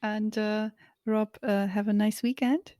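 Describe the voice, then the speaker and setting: A German woman co-hosting a casual talk show in English, frank and lively, sometimes searching for words. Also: mid-range voice, measured pace, no room sound, clean studio sound. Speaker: co-host. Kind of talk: casual conversational chat.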